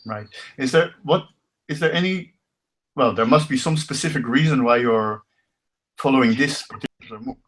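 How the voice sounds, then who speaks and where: A man hosting an informal live online discussion as a professor, speaking haltingly and rephrasing as he goes over a video call. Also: medium pitch, lively intonation, a bit bright. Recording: muffled lo-fi video call audio.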